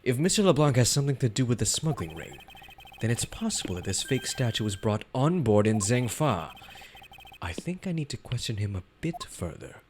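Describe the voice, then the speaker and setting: A man voicing a stiff, formal male prosecutor in a video game, reading the lines in a measured, formal way. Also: medium pitch, very animated, darkish tone, a little hiss or hum, natural voice.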